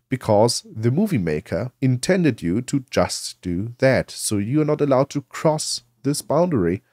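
A man narrating a software tutorial in a conversational, explanatory way, asking and answering his own questions. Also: medium pitch, no echo, studio quality. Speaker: tutorial presenter.

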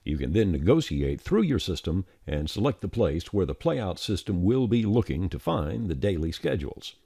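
Male tutorial narrator, mellow and a touch rough, plain step-by-step instructional delivery. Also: low-pitched, very animated, moderate pace, no room sound, clean studio sound. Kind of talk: scripted instructional screencast narration.

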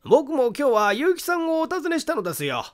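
A man's voice: extremely high pitch, wildly swooping pitch, fairly quick, voice pushed up, heavily acted. A man reading a story, voicing an evasive, self-important odd fellow with a coy, excuse-making manner.

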